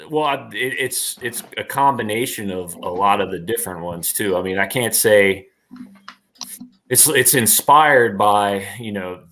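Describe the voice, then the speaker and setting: A man's voice: on the low side, bright, forceful tone. A man talking casually as an interview guest, rambling and enthusiastic in a slangy way.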